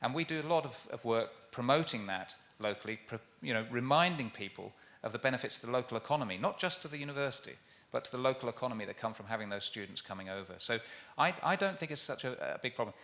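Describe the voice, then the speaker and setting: A man's voice: mid-range voice, lively intonation, quick pace, few pauses, bright, forceful tone. A man answering audience questions on a conference panel, earnest, with frequent restarts. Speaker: university panelist.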